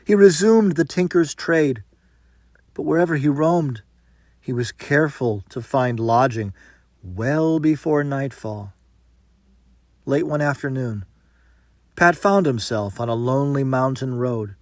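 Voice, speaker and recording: Slow, highly animated male storyteller. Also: no echo, clean studio sound. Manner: theatrical, suspenseful, emphatic. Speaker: storyteller reading a folktale. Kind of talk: dramatic scripted narration.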